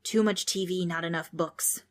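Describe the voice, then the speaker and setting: A woman's voice: fairly low pitch, steady tone, moderate pace, natural voice. A woman voicing a cranky old male ghost who scolds, grumbles and loses his train of thought in a children's audiobook. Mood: grumbling. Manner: grumbling, exasperated, old-fashioned insults and rambling.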